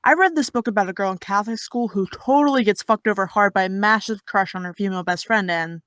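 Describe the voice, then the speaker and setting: A woman's voice: medium pitch, quick pace, a bit bright, no echo, natural voice. Woman acting brash sarcastic teen girl, cheeky troublemaker, medium voice, dry recording, audio drama. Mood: flippant. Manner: sarcastic, flippant, theatrical. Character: brash, mocking teenage girl, flippant troublemaker.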